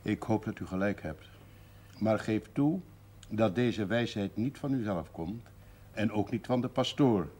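Blunt, avuncular older gentleman. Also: on the low side, animated, measured pace, husky. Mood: wry, pointed. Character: older provincial gentleman, genial but blunt, worried father. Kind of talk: 1970s Dutch television drama acting.

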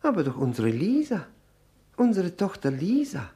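An actor playing a nervous, self-abasing widower who drinks, stammering and repeating himself in an old radio play. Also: male voice, high voice, wildly swooping pitch, moderate pace, warm tone, husky, exaggerated voice. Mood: nervous, rambling. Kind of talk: 1960 German radio play acting. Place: radio drama studio.

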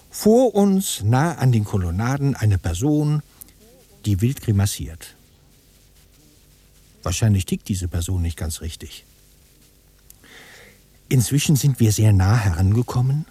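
An actor playing an observant man who reports in deliberate detail, in an avant-garde radio play monologue. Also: fairly low pitch, sing-song, dark tone, slightly rough, heavily acted. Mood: intent observation. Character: observant male narrator reporting his walk past colonnades.

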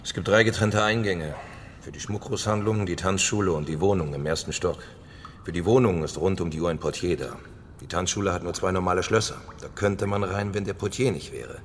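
Casting: factual criminal briefer, deep voice, radio drama, long reverb. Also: male voice, brisk, a little hiss or hum. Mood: methodical briefing. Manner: methodical, factual. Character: methodical male criminal briefing his crew on security. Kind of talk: German radio crime drama acting.

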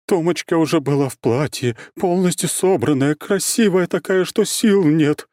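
Audiobook role: distraught, combative middle-aged mother male narrator, high mellow voice, emotional and exclamatory, very dry sound. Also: normal speed, no echo, natural voice. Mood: tearful, breathless. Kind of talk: audiobook character voice.

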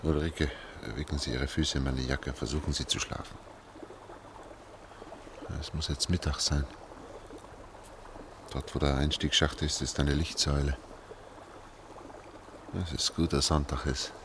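An actor playing a steady middle-aged engineer and family man in a German radio play, speaking in a deliberate, soothing, restrained way. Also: rumbling low. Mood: calm, caring. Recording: clear noise, watery ambience behind.

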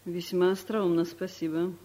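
A woman's voice: on the low side, little pitch movement, normal speed, dark tone, a little hiss or hum, natural voice. A bossy, categorical woman director, played by an actress in a vintage studio radio comedy. She speaks in curt, lecturing sentences and leaves no room for reply. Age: middle-aged. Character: domineering middle-aged woman office director. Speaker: office director.

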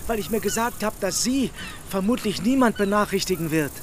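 An actress playing a troubled woman in a German radio drama, speaking gravely and hesitantly. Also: medium pitch, animated, a little fast, a little hiss or hum, lightly acted.

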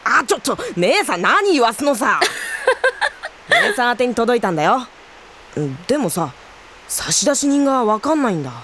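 A woman's voice: on the high side, big pitch swings, moderate pace, pauses often, pushed and bright, slight background noise. A woman voicing a cheeky, easily flustered teenage younger brother in a Japanese anime audio drama.

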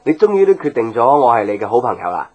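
A man's voice: mid-range voice, very animated, quick pace, narrow, tinny audio. An actor playing a patient, devoted young man in love, earnest and reflective, in a Hong Kong Cantonese radio drama. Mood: affectionate. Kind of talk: radio drama dialogue and inner monologue. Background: background music.

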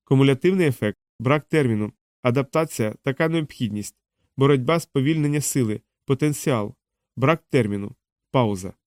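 Audiobook sci-fi computer, acted by a man, indifferent and fragmentary, each word measured apart, medium pitch. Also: a little mellow, dry recording, clean studio sound, lightly acted. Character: indifferent translating machine speaking in broken fragments.

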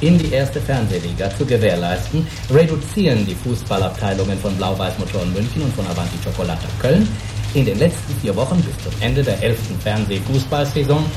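A man acting as a brisk, authoritative executive who chairs a meeting in a German radio drama, speaking formally and decisively. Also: fairly low pitch, lively intonation, roomy sound, music in the background, lightly acted. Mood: formal, firm.

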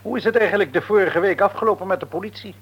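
An actor playing a reasonable middle-aged father in a radio play, gently probing with mild irony. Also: high voice, rapid-fire, husky, dull audio. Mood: probing, ironic. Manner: questioning, mildly ironic. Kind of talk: Dutch radio play acting.

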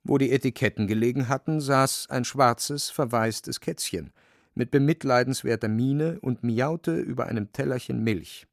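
A man narrating a literary radio play from a script, with a creaky, dry voice. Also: medium pitch, moderate pace, no echo.

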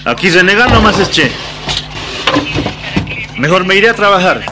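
A man's voice: sits high, big pitch swings, moderate pace. A man playing a demanding, dismissive machista husband in a radio drama, with a Bolivian accent and a complaining manner. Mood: irritated, dismissive. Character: grumbling, demanding machista working-class husband. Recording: music and clinking dishes behind.

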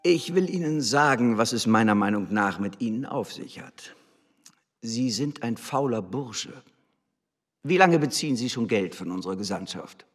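An actor playing an arrogant, scornful embassy official in a German radio drama, haughty and lecturing. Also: male voice, medium pitch, expressive, normal speed, lightly acted. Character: arrogant, scornful male embassy official berating his spy.

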